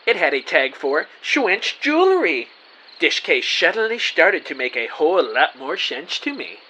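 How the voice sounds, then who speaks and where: An actor playing an earnest, excitable, bumbling amateur detective, narrating his case melodramatically in an audio drama. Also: fairly high pitch, measured pace, slight background noise, exaggerated voice, poor audio. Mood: wary, curious. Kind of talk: scripted first-person audio drama narration.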